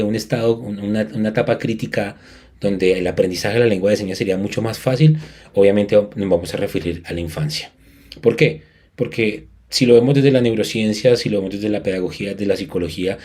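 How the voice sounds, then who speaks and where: A man from Colombia gives a conference lecture as a music educator, earnestly stressing his points and often restarting his sentences. His voice sits low and sounds mellow and warm. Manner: earnest, emphatic, with frequent restarts. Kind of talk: academic conference talk.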